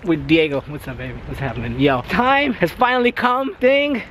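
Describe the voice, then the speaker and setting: A young man chatting casually to his vlog camera in slangy, excitable talk. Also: fairly high pitch, moderate pace, slight background noise. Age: young adult. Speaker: vlogger.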